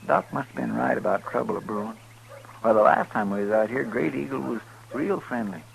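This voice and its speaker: Gravelly, folksy western sidekick. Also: male voice, medium pitch, fairly quick. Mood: folksy, uneasy. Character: chatty, folksy, deferential frontier deputy sidekick. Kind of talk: 1950s radio western acting. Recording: faintly noisy 1950s radio broadcast.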